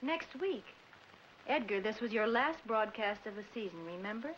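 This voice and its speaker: An actress playing a brisk, wisecracking American career woman in a 1940s film comedy. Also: mid-range voice, animated, fairly bright tone, slight background noise, lightly acted. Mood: exasperated. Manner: snappy, dry. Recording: muffled 1940s film soundtrack with music.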